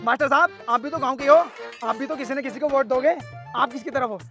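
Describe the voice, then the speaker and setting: A man's voice: very high-pitched, brisk, sharp tone, faint music behind, full character voice. A man playing a brash, boastful villager in a comedy skit, combative and taunting. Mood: pleading, pushy. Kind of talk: loud Hindi village comedy skit acting.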